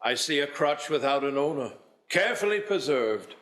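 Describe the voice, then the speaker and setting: A man playing a solemn, knowing ghost who speaks gravely and cryptically in an amateur radio play. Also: fairly high pitch, very animated, normal speed, a bit bright. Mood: solemn, foreboding. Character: solemn, knowing male Ghost of Christmas Present.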